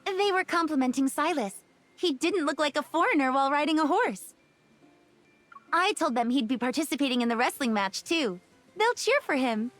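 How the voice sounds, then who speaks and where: An actress playing a kind, forthright young shepherdess from a grassland tribe in a romance game. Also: female voice, sits high, animated, normal speed, faint music behind. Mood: friendly, candid. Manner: bright, forthright, welcoming. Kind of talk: game character dialogue.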